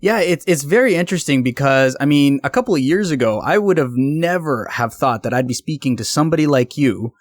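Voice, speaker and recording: A man's voice: a little high, animated, fairly quick, few pauses, no echo, studio quality. Easygoing male podcast host from Canada. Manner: friendly, easygoing questioner. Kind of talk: casual podcast interview.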